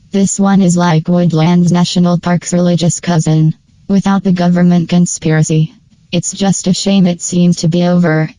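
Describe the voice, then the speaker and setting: A woman narrates a casual review in a flat, dark-toned voice, recorded very dry and close. Her delivery is scripted but informal, like an opinionated fan ranking favourites. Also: fairly low pitch, no echo, poor audio. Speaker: video creator and narrator. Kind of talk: casual scripted tier-list review commentary.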